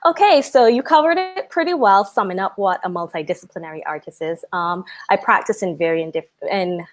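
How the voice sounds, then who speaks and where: A woman's voice: mid-range voice, animated, brisk. A woman with a Barbadian accent, an artist being interviewed, who answers earnestly and thinks aloud with ums and restarts. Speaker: artist guest.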